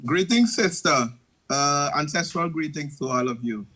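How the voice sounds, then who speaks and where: A man from Suriname whose first language is Dutch joins as a call-in guest. He speaks English carefully in a bright, fairly high voice. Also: lively intonation, a little slow. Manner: careful, apologetic non-native English.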